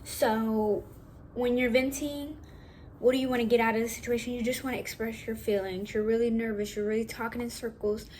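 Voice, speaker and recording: A young woman with a naturally high voice, talking casually and rambling a little as she shares acting tips from home. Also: fairly even intonation, a little fast, darkish tone, a little hiss or hum, low-quality recording. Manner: chatty, rambling, self-correcting, casual. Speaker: vlogger.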